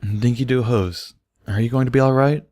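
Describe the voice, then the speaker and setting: A man voicing a gentle but commanding adult male pony tutor in a story reading. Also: medium pitch, fairly even intonation, normal speed, dry recording, clean studio sound, pitched above the performer's own voice, close to an everyday voice. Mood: soothing.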